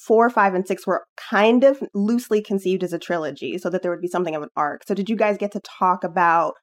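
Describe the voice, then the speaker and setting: Mid-pitched woman co-hosting a podcast. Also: fast talker. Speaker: podcast co-host, interviewer. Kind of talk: casual conversational interview.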